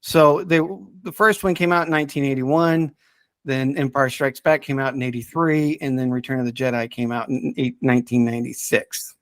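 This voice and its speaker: A man's voice: mid-range voice, level tone, normal speed, a bit bright, dry recording. A man chatting casually and enthusiastically as he presents picks on a podcast, often chuckling as he talks. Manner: enthusiastic, chuckles while talking. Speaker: podcast guest presenting a ranked list.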